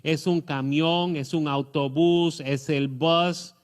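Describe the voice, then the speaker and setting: A middle-aged man with a Puerto Rican accent preaching a sermon, pausing often with fillers and restarts. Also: fairly high pitch, fairly even intonation. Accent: Puerto Rican Spanish. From Puerto Rico. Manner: hesitant, many fillers and restarts. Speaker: pastor.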